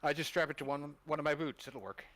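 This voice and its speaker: A man chatting casually as a panelist, with a deadpan delivery. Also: on the high side, fast talker, no room sound. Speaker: panelist on a talk show. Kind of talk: casual chat.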